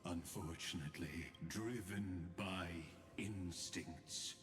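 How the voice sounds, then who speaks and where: A man voicing a cold, scheming sage in a video game, speaking in a slow, theatrical, menacing manner. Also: sits low, fairly bright tone. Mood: grave, ominous. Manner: slow, deliberate, theatrical delivery. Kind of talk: dramatic video game dialogue. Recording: long reverb, music underneath.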